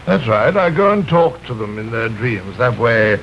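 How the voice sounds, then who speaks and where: An actor playing a suave, smug villain in a vintage television drama, with pompous, courtly, mock-polite speech. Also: male voice, medium pitch, big pitch swings, normal speed. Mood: smug, self-assured. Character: suave, smug male villain who abducts people through dreams. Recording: muffled, hissy vintage television soundtrack.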